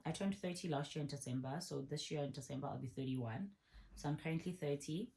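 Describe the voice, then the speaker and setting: Low-voiced woman vlogger. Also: quick pace, mellow. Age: 30s. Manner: chatty, repeats herself for emphasis. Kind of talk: casual Q&A answering viewer questions.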